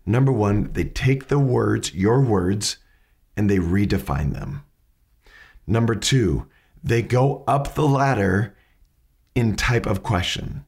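A man hosting a lesson on asking questions, his voice raspy from hay fever, explaining step by step and often restarting his sentences. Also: fairly low pitch, animated, takes it slowly, darkish tone. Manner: methodical, restarts mid-sentence while explaining. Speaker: podcast host teaching questioning.

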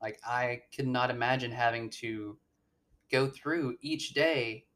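A woman's voice: a bass voice, takes it slowly, a bit bright. A woman hosting a casual conversation, speaking supportively and with empathy. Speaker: host. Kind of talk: casual chat.

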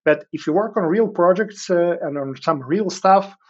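A man from Serbia with a Serbian accent, speaking conversationally as an interview guest in halting phrases with frequent filled pauses. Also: sits high, highs cut off. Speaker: podcast guest, cloud engineer.

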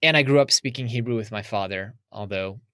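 A man with a lowish voice, talking as a guest in a relaxed interview. He thinks aloud and reflects as he goes. The sound is dry and close, with no room around it. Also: a little fast, dry recording. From United States. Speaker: podcast guest. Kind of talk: casual interview talk.

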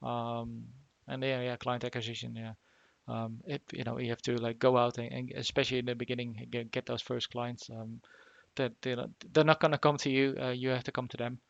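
A man hosting a casual remote interview, talking haltingly with lots of fillers and restarts. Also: mid-range voice, level tone, gravelly, no room sound, lo-fi sound. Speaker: podcast host.

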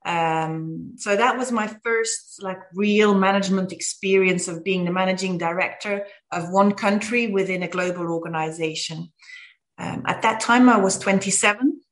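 Belgian-born woman CEO in interview. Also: mid-range voice. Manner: measured, with frequent fillers. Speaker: company CEO guest. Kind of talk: interview conversation.

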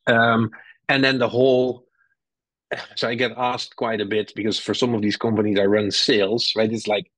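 A man's voice: fairly low pitch, flat delivery, moderate pace, fairly bright tone, no room sound, poor audio. A middle-aged man with a Dutch accent, a marketing executive talking candidly as an interview guest, blunt and rambling with many restarts. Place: lives in Silicon Valley.

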